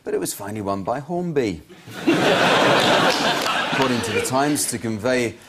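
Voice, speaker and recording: A man hosting a comedy news quiz, reading out gags with a dry, deadpan delivery in a TV studio. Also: mid-range voice, measured pace, very noisy. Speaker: panel show host. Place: TV studio with audience.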